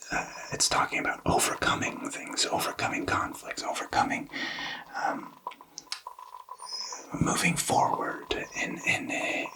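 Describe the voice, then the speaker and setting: A man giving a tarot reading in a breathy whisper, explaining gently with halting, hesitant phrasing. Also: recorded from a distance, poor audio. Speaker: tarot reader.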